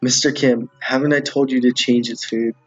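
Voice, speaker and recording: Guy reading a menacing principal boss in a visual novel, husky, bossy and cold, muffled mic, music behind. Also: mid-range voice, little pitch movement, normal speed, lightly acted. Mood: stern. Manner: commanding, threatening.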